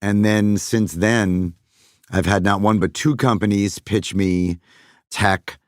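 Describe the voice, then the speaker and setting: A man's voice: lowish voice, steady tone, takes it slowly, dry recording, clean studio sound. A middle-aged man, a voice actor, musing on his craft in a podcast monologue and slipping now and then into character voices.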